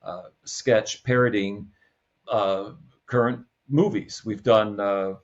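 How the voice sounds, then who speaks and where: A man chatting casually as a podcast guest, in a halting, word-repeating way, on slightly dull audio. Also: fairly low pitch, steady tone. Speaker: podcast guest, comedy sketch producer. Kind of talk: casual chat.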